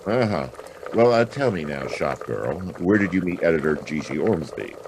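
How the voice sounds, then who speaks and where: Low-voiced, wry hard-boiled detective. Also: male voice, very animated, normal speed, vocal fry, background noise, exaggerated voice. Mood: brisk, curious. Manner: wry, dry, slangy. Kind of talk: hard-boiled radio drama acting with narration.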